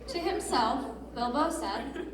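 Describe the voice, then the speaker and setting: A woman's voice: high voice, animated, slow pace. A woman giving scripted story narration in a readers theatre. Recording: distant mic, echoey hall, noisy.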